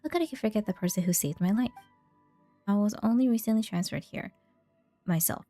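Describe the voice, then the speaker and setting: Woman reading as a mellow, grateful male guard. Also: mid-range voice, fairly quick, soft background music, close to an everyday voice. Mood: friendly, grateful. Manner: friendly, grateful, read off screen with stumbles. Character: friendly, grateful male guard, modest lucky survivor. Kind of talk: reading game dialogue aloud.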